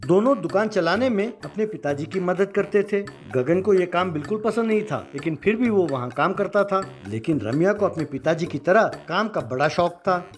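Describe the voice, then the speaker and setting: A man's voice: high voice, lively intonation, normal speed, few pauses, soft background music. A man narrating a scripted children's-style story as its narrator.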